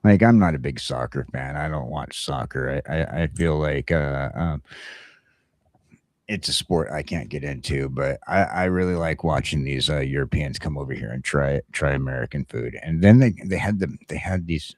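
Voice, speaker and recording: A man hosting a casual morning chat show, talking in a halting, repetitive, opinionated way. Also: very deep voice, dry recording, studio quality. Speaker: talk show host.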